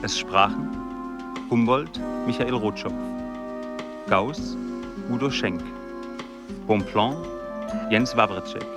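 A man's voice: medium pitch, takes it slowly, pauses often. A man announcing a radio play in a scripted style, reading titles and credits. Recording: loud music under the voice. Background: loud music, ticking.

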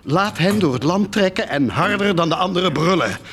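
An actor in a Dutch radio drama playing a driven, scheming war leader with an intense, rhetorical delivery. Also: male voice, sits high, lively intonation, normal speed, noisy background. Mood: scheming, forceful. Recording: music behind the voice.

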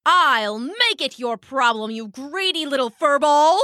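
Pompous male storybook dove, bossy and affronted, emphatic, forceful delivery played by a woman. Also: high-pitched, very animated, slow pace, no room sound, clean studio sound, voice pushed up, exaggerated voice. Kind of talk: children's storybook character voice.

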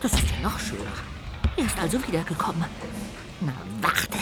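A woman's voice: fairly low pitch, very animated, moderate pace, background noise. A woman voicing a small, mischievous castle ghost in a radio play, indignant and fond of ghostly hooting. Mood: agitated.

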